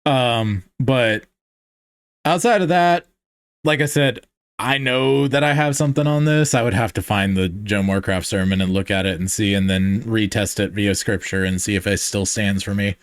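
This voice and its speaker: A man's voice: lowish voice, normal speed, smooth, dry recording. Man thinking aloud on a dry mic. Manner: thinks aloud with many fillers and restarts. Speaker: podcast host. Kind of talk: casual theological discussion.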